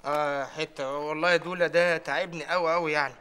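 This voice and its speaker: A man's voice: a little high, fairly even intonation, quick pace, pushed and bright, clean tone. A man playing a grumbling, put-upon shop assistant with an Egyptian accent. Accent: Egyptian Arabic. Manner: whiny, grumbling.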